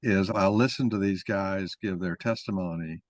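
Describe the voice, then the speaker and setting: Low-voiced man explaining, dry close sound. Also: normal speed, no echo. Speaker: moderator and main speaker of a mock-trial discussion. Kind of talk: informal explanatory discussion.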